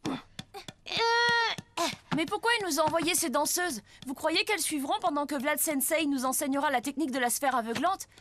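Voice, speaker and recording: Young ninja student, cartoon, high-pitched, keen, skeptical. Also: female voice, moderate pace, a bit bright. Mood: puzzled, keen. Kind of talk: French cartoon dubbing.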